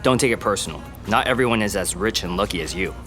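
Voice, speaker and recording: A man's voice: on the low side, animated, quick pace, fairly bright tone, soft background music. A man playing a bossy army captain, blunt and colloquial with casual authority. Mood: casual, authoritative.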